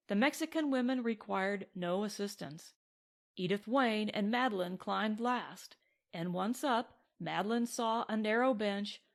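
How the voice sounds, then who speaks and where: A woman's voice: mid-range voice, vocal fry. A woman reading scripted narration from a western novel as an audiobook. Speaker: audiobook reader.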